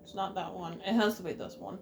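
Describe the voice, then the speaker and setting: A woman's voice: lowish voice, brisk, darkish tone, slight background noise. A woman giving casual commentary as she streams a video game playthrough. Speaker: let's play streamer.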